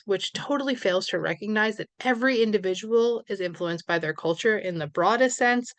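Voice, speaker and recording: A woman's voice: medium pitch, dry recording. A woman from New York with a New York accent, giving a recorded social work lecture in a conversational way, with fillers and small self-corrections.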